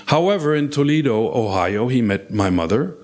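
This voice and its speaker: A man in his sixties who grew up in West Berlin, speaking as an interview guest in a measured way with frequent hesitations. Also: mid-range voice, big pitch swings, darkish tone. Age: 60s. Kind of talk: conversational interview. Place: Thoiry, near Geneva.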